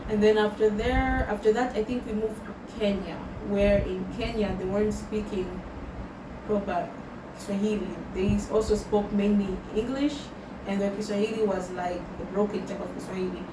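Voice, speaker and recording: A young woman speaks in a reflective personal vlog, slow and thoughtful, with Swahili words slipped into her English. Her voice sits in the middle of the range for a woman. The recording is roomy and distant, with loud background noise. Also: medium pitch, fairly even intonation. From Tanzania. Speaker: vlogger.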